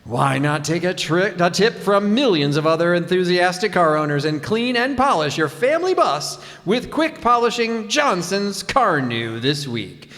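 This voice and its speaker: A man playing an upbeat, emphatic 1940s radio announcer pitching products like a salesman, in an echoey hall. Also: sing-song, normal speed, few pauses, a bit bright. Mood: enthusiastic pitch. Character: upbeat male 1940s radio announcer selling car polish. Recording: echoey hall, stage performance.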